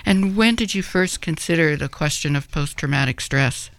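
A woman radio host in a studio, giving measured, formal introductions to her guest. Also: deep voice, expressive, vocal fry. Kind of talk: radio interview.

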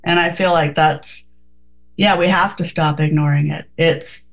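A woman with a somewhat low voice talks on a casual radio show. She is earnest and reflective, often breaking off and restarting her sentences. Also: fairly even intonation, measured pace.

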